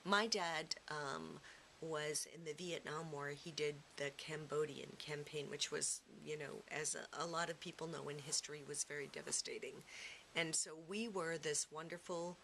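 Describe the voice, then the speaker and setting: A middle-aged woman giving a reflective, personal interview, speaking haltingly as she searches for her words. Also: low voice, steady tone, sharp tone. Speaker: theatre performer being interviewed. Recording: clearly noisy room recording.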